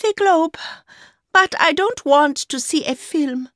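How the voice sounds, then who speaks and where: A woman voicing a timid young woman, meek and hesitant but softly stubborn, in an audiobook story. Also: sits high, lively intonation, takes it slowly, no room sound, clean studio sound, voice pushed up, full character voice. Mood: meek, guarded. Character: timid young woman, rich older man's secret lover. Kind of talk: acted dialogue in audiobook story.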